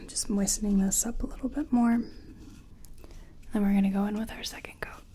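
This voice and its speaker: A woman playing a gentle, chatty makeup competition contestant in a soft-spoken, whispery ASMR personal attention role-play. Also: medium pitch, fairly even intonation, a little hiss or hum, natural voice. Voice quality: breathy, whispery. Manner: gentle, unhurried, chatty, reassuring. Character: friendly, self-taught makeup competition contestant.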